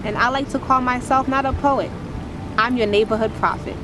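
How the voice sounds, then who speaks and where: A woman's voice: fairly high pitch, steady tone, normal speed, background noise. A woman with a naturally strong voice speaking to camera as a motivational speaker and poet, in an earnest, preachy, uplifting cadence that repeats its phrases. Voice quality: strong, powerful voice. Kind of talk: inspirational testimony to camera.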